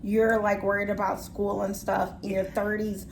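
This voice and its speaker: A woman's voice: medium pitch, little pitch movement, normal speed, creaky, poor audio. Woman, forties, casual vlog chat, reflective, faint room noise. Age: 40s. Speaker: vlogger.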